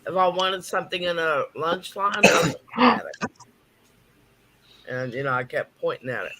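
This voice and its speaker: A woman's voice: lowish voice, lively intonation, normal speed, a crowd in the background, poor audio. A woman in her fifties, a native Japanese speaker, telling stories in a casual chat. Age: 50s. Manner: storytelling, with a chatty pace.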